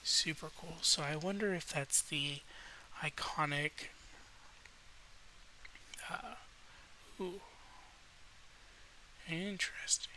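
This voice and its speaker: A man with a slightly rough voice, rambling in a relaxed, hesitant late-night style with frequent pauses, as a video host. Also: on the high side, poor audio. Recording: noticeable faint background hiss.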